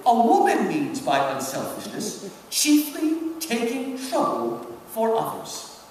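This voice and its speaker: A man playing a pompous, sly senior devil in a dramatic book reading, with a theatrical, deliberate, drawn-out delivery. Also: extremely high pitch, wildly swooping pitch, a little mellow, pitched above the performer's own voice, full character voice. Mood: sly, mocking. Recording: echoey hall from far off, noisy.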